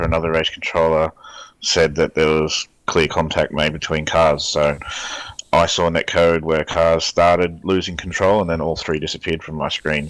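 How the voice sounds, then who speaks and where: Aussie race director guy, real low flat voice, lots of ums, laid back explaining. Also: no room sound, rough recording. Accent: Australian. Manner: measured, explanatory, with many ums. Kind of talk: online call interview.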